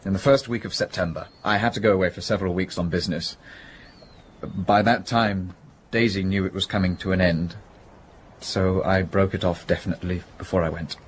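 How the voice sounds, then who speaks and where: Male actor for well-bred gentleman, deep register, halting and contrite, radio drama, slight background noise. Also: steady tone, close to an everyday voice. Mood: frank, subdued. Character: contrite upper-class young businessman confessing an affair. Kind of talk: period radio drama acting.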